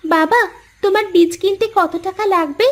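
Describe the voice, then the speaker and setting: Very high voice, young farmer's daughter played by a woman, earnest, enterprising, caring, cartoon moral tale. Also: moderate pace. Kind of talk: Bengali moral-story cartoon voice acting.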